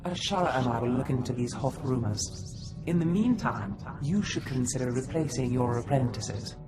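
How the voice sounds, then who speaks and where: An actor playing a scheming Sith mentor who gives counsel in a video game cutscene, measured and formal. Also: medium pitch, lively intonation, over music, lightly acted. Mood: calculating.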